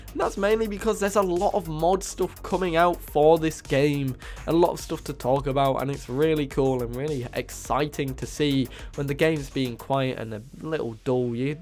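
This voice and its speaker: A hoarse, slightly nasal man with a somewhat high voice talks through a game mod showcase, his voice roughened by a cold. He keeps a casual, enthusiastic host's delivery, piling on praise as he goes. Quiet music plays under him. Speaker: gaming channel host. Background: faint music.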